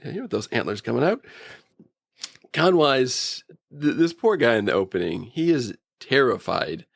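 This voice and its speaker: A man's voice: medium pitch, very animated, normal speed, creaky, no echo, studio quality. A man co-hosting a casual review podcast, telling things in a wry, joking, anecdotal way. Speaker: podcast co-host. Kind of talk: casual humorous review chat.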